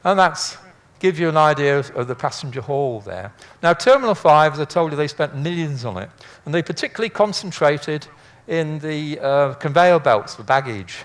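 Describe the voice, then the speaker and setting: A man's voice: a little high, expressive, normal speed, few pauses, fairly bright tone. An older man giving an informal illustrated lecture in a chatty, rambling, anecdotal way with dry humour. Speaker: speaker, former air traffic controller.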